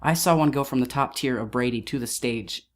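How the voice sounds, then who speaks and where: A woman's voice: very deep voice, a little fast, a crowd in the background. A woman hosting a podcast in casual interview chat, joking and laughing often, with stammering restarts. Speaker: podcast host.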